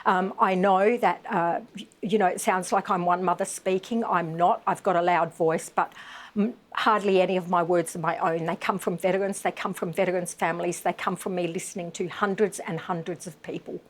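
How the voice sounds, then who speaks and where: A woman's voice: lowish voice, fairly even intonation, moderate pace. A middle-aged woman, an advocate giving a live TV interview, emphatic and impassioned with many fillers. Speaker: bereaved mother and veterans' advocate.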